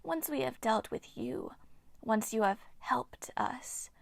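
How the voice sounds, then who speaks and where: A woman voicing a bitter, ancient female water nymph in a slow, hissing whisper. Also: on the high side, sing-song, gravelly, no room sound, exaggerated voice. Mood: menacing.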